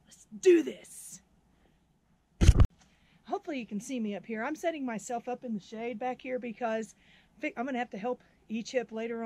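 Middle-aged woman, slightly high, chatty and upbeat, casual vlog, outdoor handheld camera. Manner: chatty, laughs easily, upbeat. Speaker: vlogger, history teacher. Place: outdoors at a windy off-grid camp. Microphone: camera at arm's length.

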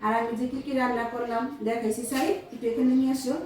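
A woman's voice: fairly high pitch, flat delivery, quick pace, a little mellow. A middle-aged woman with a Bangladeshi accent, chatting casually as a mother vlogging at home. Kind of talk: casual home vlog chat. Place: indoors at home. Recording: echoey room, distant mic, faint noise.

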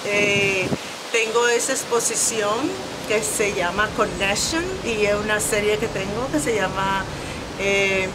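A middle-aged woman with a Dominican accent speaks in a conversational interview. Her pace is slow and reflective, with frequent pauses, and her pitch sits in a medium range. Loud ambient noise runs under the voice. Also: steady tone. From Dominican Republic. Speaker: visual artist, interview guest. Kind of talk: conversational interview about own art.

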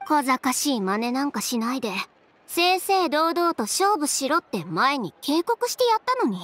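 An actress playing a blunt, sharp-tongued young woman fashion designer in an anime-style video game, speaking in a curt, assertive way. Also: sits high, sing-song, moderate pace. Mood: irritated, scornful.

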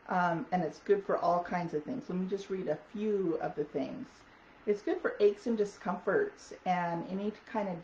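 A woman vlogger with a raspy, hoarse voice chatting casually about wellness products, with lots of ums. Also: mid-range voice, a little mellow. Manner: chatty, many ums, reads product notes aloud. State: hoarse from talking. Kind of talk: casual product talk to camera. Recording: echoey dull audio with faint noise.

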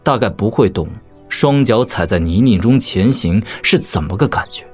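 An actor playing a proud young warrior prince in an audio drama, measured, cutting and mock-polite. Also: male voice, lowish voice, lively intonation, slightly rough, faint music behind. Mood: cutting, defiant.

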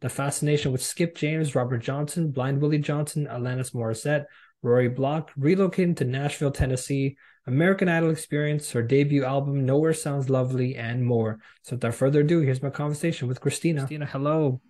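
A young man hosts a casual podcast from his home room over a video call. He asks questions eagerly and often restarts his sentences with fillers. His voice has a plain, mid-range pitch. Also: brisk, warm tone. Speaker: podcast host.

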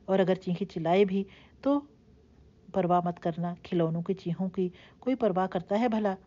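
A woman's voice: on the low side, fairly even intonation, warm tone, lo-fi sound, close to an everyday voice. A woman voicing a heartbroken, defiant young woman who lashes out in passionate, reproachful outbursts. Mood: bitter anger.

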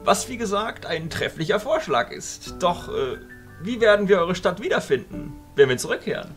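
A man's voice: high-pitched, big pitch swings, normal speed, faint music behind. A man acting a pompous, cheerful and quirky archchancellor wizard in a fantasy audio drama. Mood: cheerfully puzzled. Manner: pompous, flowery, delighted.

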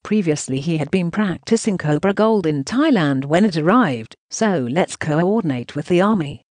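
Brief: synthetic news narration voice, choppy delivery, reads punctuation aloud, animated pitch, dry close recording. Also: moderate pace, dry recording. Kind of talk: synthetic voice reading translated news text.

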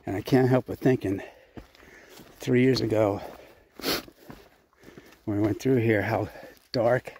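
A man's voice: fairly low pitch, fairly even intonation, warm tone, a little hiss or hum. Chatty man narrating a mountain hike. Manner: chatty, unhurried, reads signs aloud. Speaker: hiking vlogger. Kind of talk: casual trail narration to camera. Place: outdoors on a mountain trail.

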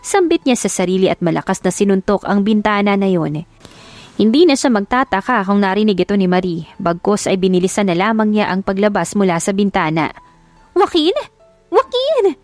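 A woman's voice: mid-range voice, a little fast, darkish tone, no room sound, faint music behind. A woman reading scripted dramatic story narration as an audiobook narrator.

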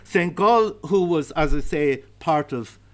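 A man's voice: on the high side, expressive, slow. A man in his fifties with an Irish accent giving a public history lecture in a hesitant, discursive way. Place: darkened heritage centre auditorium.

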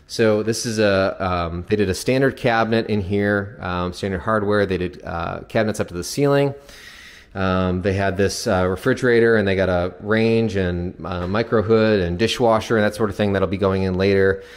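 A man with a fairly low voice narrates a house tour off the cuff. He explains features informally, like a builder's representative showing a client around. His voice carries long reverb from the empty interior. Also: normal speed. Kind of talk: casual unscripted walkthrough narration. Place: empty new house, indoors.

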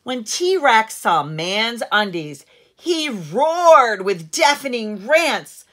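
A middle-aged woman reading a picture book aloud to children like a teacher, with deliberate storyteller phrasing and strong emphasis. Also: a little high, very animated, fairly bright tone. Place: living room at home, seated in an armchair.

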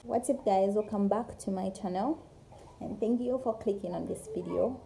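A woman's voice: medium pitch, normal speed, creaky, warm tone, slight background noise, rough recording. A young woman from Zambia, chatting casually to her phone camera in a small room, rambling with lots of fillers. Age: young adult. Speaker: YouTuber. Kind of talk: casual get-to-know-me vlog. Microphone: phone camera at arm's length.

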